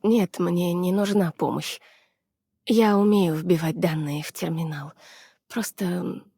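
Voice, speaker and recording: Weary young female game scientist, anxious, soft breathy husky voice, slightly low, hesitant and subdued delivery. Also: no echo, studio quality. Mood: flustered, then hesitant, apologetic. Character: weary, anxious, withdrawn young woman scientist from the frozen north. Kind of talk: Russian dub of game character voice lines.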